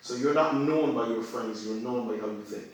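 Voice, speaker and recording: A man's voice: medium pitch, normal speed. A man who is a religious teacher, speaking as a guest in a conversation in an emphatic way, repeating phrases for effect. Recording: very echoey room, far from mic.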